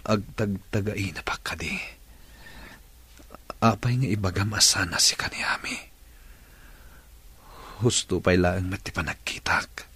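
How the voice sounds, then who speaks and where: An earnest, low-key young patient. His voice is deep and husky, with an Ilocano Filipino accent. He delivers it as radio drama dialogue. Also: measured pace, long pauses, pushed and bright, heavily acted. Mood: puzzled, groggy.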